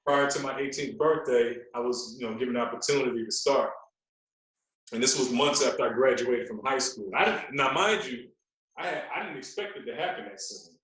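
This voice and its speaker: A man's voice: mid-range voice, a little fast, a bit bright. Echoey American man telling stories casually. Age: 40s. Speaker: radio personality, podcast guest. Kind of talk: casual interview storytelling. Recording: very echoey, roomy sound.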